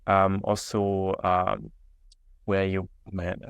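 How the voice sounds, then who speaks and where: A young German-accented man talks easily on a video call, his voice a little low and dry. He wanders through his answers with plenty of "um" and "like", restarting as he goes. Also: very slow, vocal fry, smooth, no echo, lo-fi sound. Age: 20s. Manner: relaxed, many fillers and restarts. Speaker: guest entrepreneur. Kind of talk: casual interview chat. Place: indoor room.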